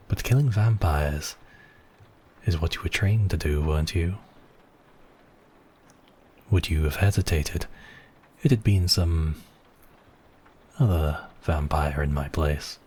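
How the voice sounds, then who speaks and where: A man acting as a suave, obsessive, mocking vampire count in an intimate ASMR roleplay, with silky, teasing, drawn-out phrasing. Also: very deep voice, darkish tone, breathy edge, heavily acted. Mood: probing, playful.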